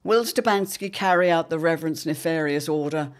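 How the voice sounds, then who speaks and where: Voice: mid-range voice, a little fast. Formal narrator of a serial drama. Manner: formal, storytelling.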